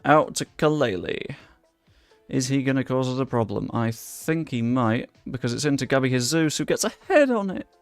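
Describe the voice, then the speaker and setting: Young guy rambling over his football game, gravelly voice, dry mic, quiet music behind. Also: medium pitch, lively intonation, normal speed, no echo. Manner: chatty, swears under his breath, talks to viewers and chat. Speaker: gaming YouTuber. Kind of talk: casual live commentary over a football management game.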